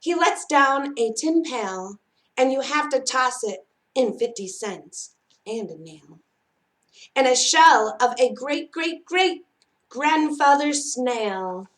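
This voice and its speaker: A woman reading a children's picture book aloud in an expressive, measured storytelling manner. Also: high voice.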